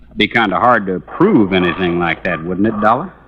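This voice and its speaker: An actor playing a cagey, wry man in a 1950s radio drama, laconic and unhurried. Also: low-pitched, animated. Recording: noisy, dull old radio recording, clicks.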